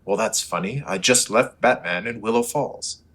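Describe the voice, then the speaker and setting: A man performs an upright superhero in a radio serial spoof. His voice is fairly low and self-assured. He sounds like a classic adventure hero. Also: normal speed, fairly bright tone, close to an everyday voice. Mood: resolute. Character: confident, upright male superhero posing as reporter. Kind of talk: old-time radio serial acting.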